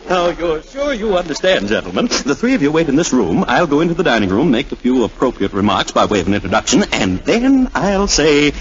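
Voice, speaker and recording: An actor playing a pompous, long-winded, easily flustered gentleman in 1940s radio comedy-drama style. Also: a little high, very animated, a little fast, hardly any pauses. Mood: fussy. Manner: pompous, dithering speechmaker. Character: fussy, flustered, long-winded older gentleman hosting a rally. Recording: muffled old radio recording with music.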